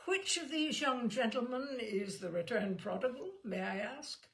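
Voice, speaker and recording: A woman's voice: a little high, expressive, unhurried, creaky, fairly bright tone, pitched above the performer's own voice, exaggerated voice. A woman voicing a small young male reporter from a storybook, with a Glasgow accent, chatty, apologetic and persistent. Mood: agreeable. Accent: Glasgow Scottish. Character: small young male newspaper reporter, eager, agreeable, pushy.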